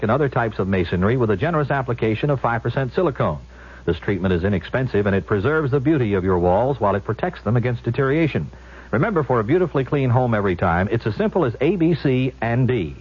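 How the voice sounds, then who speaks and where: Husky male radio ad announcer. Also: fairly low pitch. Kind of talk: scripted 1970s radio ad read. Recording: dull old radio broadcast recording.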